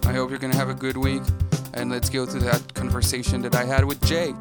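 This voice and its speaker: A man's voice: mid-range voice, level tone, fairly quick, over loud music. A man hosting a podcast, talking casually to his listeners and introducing the show. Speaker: podcast host.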